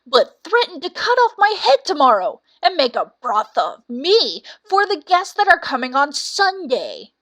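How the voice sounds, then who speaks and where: Woman playing a storybook rooster, loud, proud, excitable, high-pitched. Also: unhurried, few pauses, heavily acted. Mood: aggrieved. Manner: loud, exclamatory.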